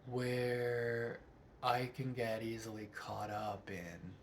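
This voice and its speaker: Middle aged guy, slow gentle spiritual vlog talk, kinda low and gravelly. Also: flat delivery, a little hiss or hum. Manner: thoughtful, unhurried, often asks "right?". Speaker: vlogger.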